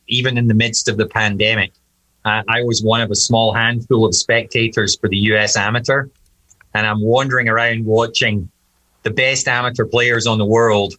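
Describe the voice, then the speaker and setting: A man in his fifties with an accent that sits between Scottish and American. His voice is bright and forceful, and he answers thoughtfully, stopping often with "uh" and starting phrases again. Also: mid-range voice, rough recording. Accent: mixed Scottish and American. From Scotland. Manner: reflective, hesitant, frequent uh and restarts. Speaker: golf course architect guest. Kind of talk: conversational interview answers.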